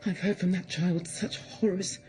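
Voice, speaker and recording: An actress playing a kindly, worried housekeeper in a gothic audio drama, with a soothing, hesitant way of talking. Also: female voice, lowish voice, fairly even intonation, moderate pace, mellow, soft background music, natural voice. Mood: troubled.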